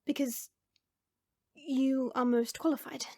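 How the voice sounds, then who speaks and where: A woman playing a regal dragon queen in a scripted audio roleplay, earnest and resolute, with formal, deliberate phrasing. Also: high voice, fairly even intonation, a little mellow, no room sound, studio quality. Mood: earnest explaining. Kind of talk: scripted audio roleplay acting with first-person narration. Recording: music behind the voice. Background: background music.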